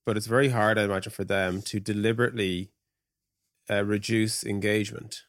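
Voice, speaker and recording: Man, rapid pace, lower pitch, casual podcast guest, dry close sound. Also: steady tone, a bit bright, dry recording. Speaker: podcast guest, product executive. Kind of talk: casual tech podcast discussion.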